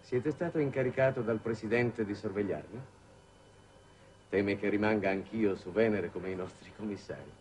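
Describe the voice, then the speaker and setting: An actor playing a shrewd, weary middle-aged statesman in a 1960s Italian television drama, speaking in formal, measured phrases. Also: lowish voice, a little mellow, close to an everyday voice. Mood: probing, ironic. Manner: measured, formal, deliberate oratory. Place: television studio set. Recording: noisy old 1960s television soundtrack.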